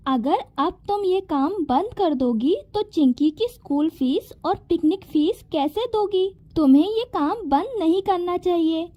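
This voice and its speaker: A woman voicing a helpful, reassuring talking magical egg in an animated fairy tale, earnest and persuasive. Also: very high-pitched, muffled recording.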